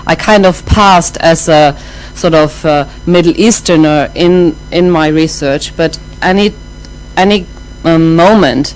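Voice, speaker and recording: A middle-aged woman with a German accent gives a lecture in a low, grounded voice. She speaks thoughtfully, with frequent "um"s and self-corrections as she works through her points. Faint music sits underneath. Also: darkish tone, low-quality recording. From half German, half Iraqi. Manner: thoughtful, many ums and restarts. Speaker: academic speaker.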